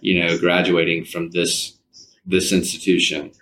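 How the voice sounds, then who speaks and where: Low-voiced man telling stories in an interview. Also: completely flat delivery, a little slow, fairly bright tone, low-quality recording. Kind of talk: informal interview storytelling. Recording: background audio noise behind voice. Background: faint background audio.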